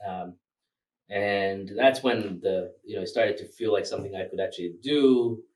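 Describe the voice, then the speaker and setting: A man's voice: lowish voice, normal speed, a little mellow, far from the mic, low-quality recording. A man from Rwanda, Kinyarwanda his first language, talking loosely as a filmmaker guest with many fillers and laughs. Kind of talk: casual interview.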